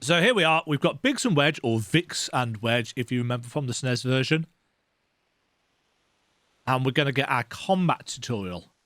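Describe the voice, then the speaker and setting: A man's voice: mid-range voice, animated, normal speed, a bit bright, no echo. A man giving casual, conversational commentary while playing a video game.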